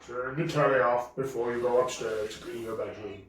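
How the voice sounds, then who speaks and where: A man's voice: medium pitch, steady tone, normal speed, voice pushed down, natural voice. Man playing a bossy dragon dad, very echoey. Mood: bossy, scolding. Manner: scolding, exclamatory, swooping. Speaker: father performing a puppet show. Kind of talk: homemade children's puppet show. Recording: very echoey room, distant mic.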